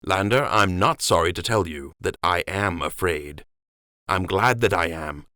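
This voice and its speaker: Grave, loving rabbit king, low and tender, voiced by a man, dry. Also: expressive, a little slow, sharp tone, no echo, full character voice. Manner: solemn, tender.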